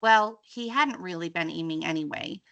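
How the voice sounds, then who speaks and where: A woman reading a children's story aloud in an expressive, storytelling style. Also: on the low side, moderate pace, gravelly. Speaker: teacher reading a book aloud.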